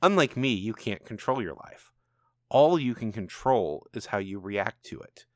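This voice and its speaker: A man playing a sly, low-voiced devilish dealmaker. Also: expressive, measured pace, no room sound, studio quality. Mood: stern, persuasive. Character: sly, commanding supernatural bar-owner father, male, calm and knowing. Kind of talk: storybook narration dialogue.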